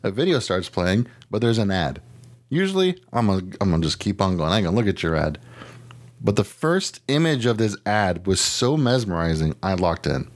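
An American man co-hosting a casual comedy podcast. His pitch jumps around freely as he talks, full of exclamations, slang and swearing. The delivery is loose and conversational. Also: fairly quick. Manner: animated, exclamatory, slangy and profane. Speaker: podcast co-host.

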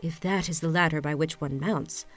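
A woman voicing a young prince in a plain, even reading voice over faint music. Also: low voice, normal speed, voice pushed down. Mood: hopeful. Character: young king's son, hopeful and bold.

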